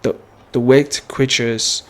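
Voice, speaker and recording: A man streaming a video game, reading story text aloud dramatically and haltingly, stumbling and repeating words. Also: medium pitch, fairly even intonation.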